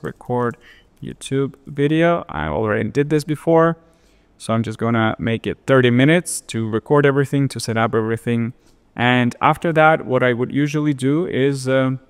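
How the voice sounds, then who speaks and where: A young man from Ukraine with a Ukrainian accent, calmly explaining his daily routine in a relaxed screen-share tutorial with fillers. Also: mid-range voice, clean tone, long reverb tail. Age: young adult. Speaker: web designer and YouTuber. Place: indoors by a large window.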